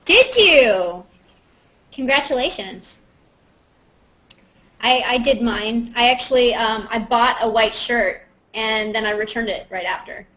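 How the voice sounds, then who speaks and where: A woman's voice: on the high side, expressive, unhurried. A woman chatting casually and playfully as she hosts a live question-and-answer video call. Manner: playful, joking, self-mocking. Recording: muffled, lo-fi internet video call.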